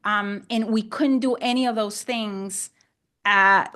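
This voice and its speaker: This is a middle-aged woman from Honduras who grew up speaking Spanish. Her voice sits at an average pitch for a woman. She talks like an interview guest, conversationally, repeating words and rewording as she goes. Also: fairly even intonation, slow, a bit bright. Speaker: podcast guest, land investor.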